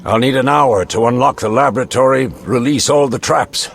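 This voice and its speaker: An actor playing a cautious senior mentor of monster hunters in a video game, deliberate and authoritative. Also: male voice, mid-range voice, creaky, fairly bright tone. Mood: concerned.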